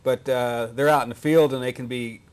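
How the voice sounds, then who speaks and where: A man's voice: medium pitch, steady tone, brisk, a little mellow, smooth. A man interviewing guests in a casual conversation, often affirming them. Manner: conversational, affirming with frequent "mm-hmm".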